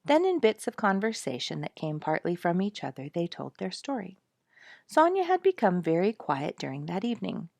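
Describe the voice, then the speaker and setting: A woman storyteller with a fairly low voice reads in an expressive, emphatic way. The sound is close and dry, with very little room around it. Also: normal speed, creaky, no echo. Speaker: storyteller, audiobook narrator. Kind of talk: dramatized reading of a memoir-style animal story.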